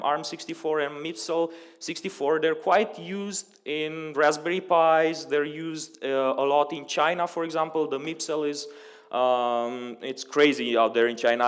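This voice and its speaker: A young Romanian man giving a conversational technical conference talk, with frequent ums and uhs. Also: fairly high pitch. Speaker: conference speaker. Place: conference hall. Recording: long hall reverb.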